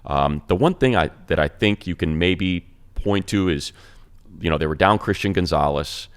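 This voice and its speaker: Male sports radio commentator with a very deep bass voice, casual and emphatic. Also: normal speed, smooth.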